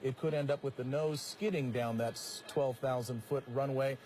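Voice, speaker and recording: A man's voice: medium pitch, fairly even intonation, moderate pace, dark tone. An American man anchoring live breaking news, commenting on events as they unfold. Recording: clearly noisy live feed, vehicle drone.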